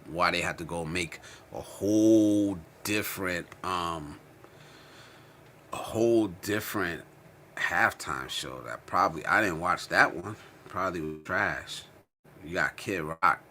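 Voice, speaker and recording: A man's voice: low voice, bright, forceful tone, slight background noise. An American man chatting casually as a livestream host, slangy and informal, repeating phrases for emphasis. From Connecticut, United States. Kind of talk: casual chat, livestream commentary answering viewer comments.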